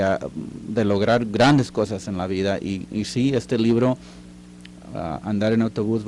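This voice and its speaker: An older retired man, a guest in a studio interview, answering slowly with many fillers and restarts. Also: fairly low pitch, dark tone, slight background noise. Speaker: guest, retired teacher and writer. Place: recording studio.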